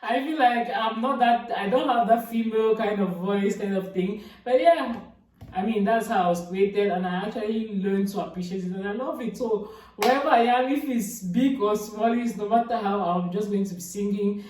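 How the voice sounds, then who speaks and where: A woman's voice: normal speed, darkish tone. A young woman chatting casually about herself for her vlog, with a big voice and lots of fillers and restarts. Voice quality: big, deep-sounding voice. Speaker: YouTuber. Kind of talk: casual get-to-know-me vlog. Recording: echoey room, voice from a distance.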